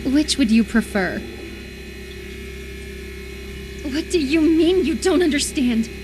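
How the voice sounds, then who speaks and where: A woman's voice: high voice, lively intonation, moderate pace, background noise. A woman voice-acting an unhinged, obsessive lover in a horror visual novel, intense and volatile, swinging between tenderness and menacing fury. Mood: threatening. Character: unhinged, obsessive lover convinced an impostor replaced their beloved.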